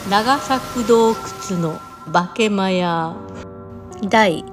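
Slow-paced woman announcer over loud music. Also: mid-range voice. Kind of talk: scripted programme intro and credits.

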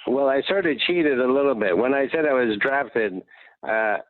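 A man in his sixties, a retired general and guest, telling his life story in a relaxed, plainspoken way. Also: mid-range voice, moderate pace, dry recording. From United States. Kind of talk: casual interview talk. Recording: tinny phone line, dry.

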